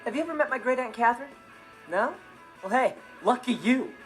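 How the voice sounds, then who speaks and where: A man's voice: extremely high pitch, animated, fairly quick. A young man with an American accent playing a cocky, mischievous teenage stepbrother in a sitcom monologue, teasing and exaggerating. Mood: amused, teasing. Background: loud music.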